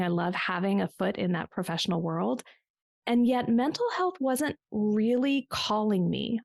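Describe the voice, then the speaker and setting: A woman's voice: medium pitch, creaky, a little mellow, no room sound, clean studio sound. A woman in her forties, a business coach guest on a podcast, telling her story in a reflective way with small restarts. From United States. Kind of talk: conversational podcast interview.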